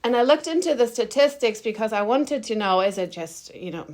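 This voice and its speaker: A woman's voice: fairly high pitch, brisk, a little mellow. A middle-aged woman from Germany, slightly nasal from a cold, answering interview questions in a candid, informal and emphatic way. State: has a cold. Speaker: interview guest, agency founder.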